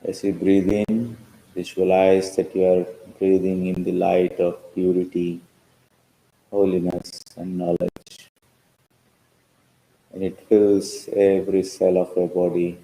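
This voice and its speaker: A man leading a guided meditation as a teacher, speaking calmly in measured short phrases with pauses. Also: sits low, vocal fry. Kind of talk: slow guided meditation instruction. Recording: roomy, echoing sound.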